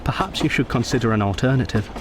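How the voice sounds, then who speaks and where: Brooding Edwardian gentleman soldier played by an actor, vehicle noise. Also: mid-range voice, animated, fast talker, natural voice. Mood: gentle, courteous. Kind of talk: radio drama narration and dialogue.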